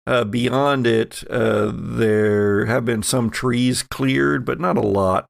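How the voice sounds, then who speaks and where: Male game master, conversational, slow delivery, gravelly, close dry sound. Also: medium pitch, steady tone, dry recording. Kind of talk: narrating a tabletop roleplaying game.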